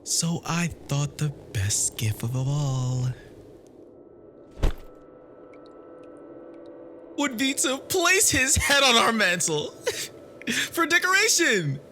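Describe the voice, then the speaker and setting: A performer playing an obsessive, jealous, violently devoted lover in an ASMR roleplay, theatrical and swinging between breathy whispers and manic laughter. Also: medium pitch, huge pitch swings, slow pace, sharp tone, faint music behind, exaggerated voice. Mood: gleefully deranged. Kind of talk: ASMR roleplay audio drama.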